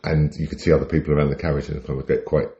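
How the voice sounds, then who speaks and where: Very deep-voiced British man, wry storyteller. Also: little pitch movement, racing, mellow, lo-fi sound. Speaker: podcast co-host. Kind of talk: casual chat, telling anecdotes.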